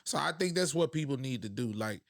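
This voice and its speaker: Man, hoarse, reaction host, casual slangy talk, dry close sound. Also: mid-range voice, animated, fairly quick, no room sound. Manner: loose, conversational, slangy. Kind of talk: casual commentary.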